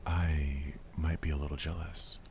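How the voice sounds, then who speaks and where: A man voicing a poised, polite teenage schoolgirl from a visual novel, courteous and gently teasing in soft ASMR style. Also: a bass voice, flat delivery, a little slow, gravelly, slight background noise, full character voice.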